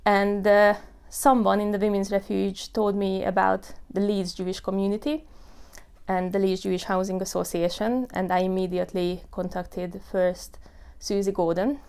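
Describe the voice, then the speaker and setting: Smooth-voiced woman in her thirties. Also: mid-range voice, little pitch movement, normal speed, a little mellow. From Hungary. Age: 30s. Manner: earnest, with many fillers like "you know" and "uh". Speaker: tenant telling her story. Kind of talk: personal testimony.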